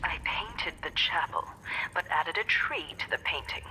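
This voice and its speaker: A woman voicing a grandiose, scheming witch painter in a video game, speaking deliberately and theatrically like someone savoring her own genius. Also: low-pitched, animated, sharp tone, slight background noise, heavily acted, low-quality recording. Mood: grandiose, brooding. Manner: deliberate, theatrical, self-important. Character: grandiose scheming witch painter, coldly obsessed with remaking the world. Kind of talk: dramatic recorded monologue in a video game.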